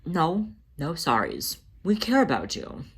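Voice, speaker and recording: Someone voicing a playful, protective tomcat, lively pitch. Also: measured pace, slightly rough, full character voice. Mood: soothing, tender. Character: playful, protective, laid-back male cat, big brother.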